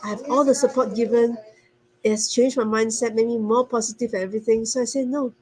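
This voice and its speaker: A woman's voice: fairly high pitch, steady tone, quick pace, darkish tone, a little hiss or hum, poor audio. A woman from Singapore, a stay-at-home mother, earnestly telling her story on a video call, often restarting and correcting herself. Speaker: guest speaker. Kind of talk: casual testimonial on a video call.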